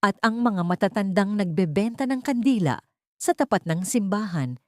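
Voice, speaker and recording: A woman's voice: mid-range voice, expressive, normal speed, mellow, dry recording, studio quality. A woman narrating a scripted audiobook drama in a dramatic storytelling style.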